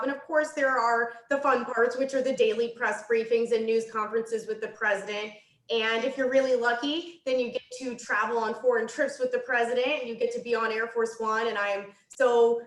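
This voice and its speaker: A woman's voice: a little high, fairly bright tone, reverberant, poor audio. A young woman from Kansas, a journalist speaking on a panel, friendly and articulate with a light teasing touch. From Kansas, United States. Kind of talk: panel discussion answers.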